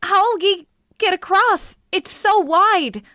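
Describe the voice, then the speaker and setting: A woman's voice: extremely high pitch, takes it slowly, sharp tone, dry recording, studio quality, exaggerated voice. A woman playing a timid young woman on a first date in an amateur vintage radio play, sounding worried and breathless.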